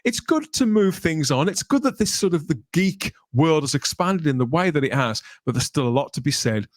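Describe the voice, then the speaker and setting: A man hosts a podcast with chatty, colloquial presenter patter. His voice sits a little high for a man, and it is recorded close and dry, with very little room sound. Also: animated, fairly quick, dry recording. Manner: wordy, colloquial, radio-presenter patter with tag questions. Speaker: podcast host.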